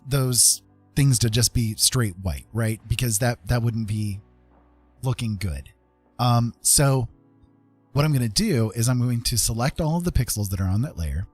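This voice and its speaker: Slightly husky man chatting over soft music. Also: lowish voice. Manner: playful, self-deprecating, chatty with viewers; muttering to himself while working. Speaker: host of an art livestream. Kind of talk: casual livestream chat while demonstrating digital art. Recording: soft background music under the voice.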